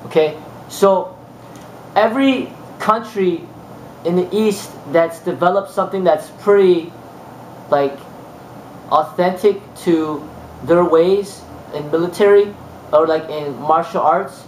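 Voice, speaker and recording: Martial arts teacher, man, slightly high voice, loose rambling talk, roomy sound. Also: takes it slowly, a little hiss or hum. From United States. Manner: rambling, many fillers and restarts. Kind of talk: casual monologue.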